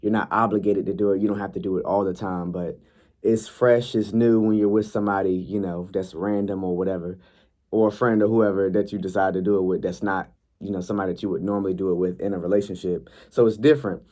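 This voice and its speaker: Young dude vlogging, kinda hoarse and stuffy, low mellow voice, lots of ums. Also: male voice, fairly even intonation, fast talker. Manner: laid-back, chatty, frequent ums and likes. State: congested and raspy from yelling. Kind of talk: casual vlog talk, reading posts aloud.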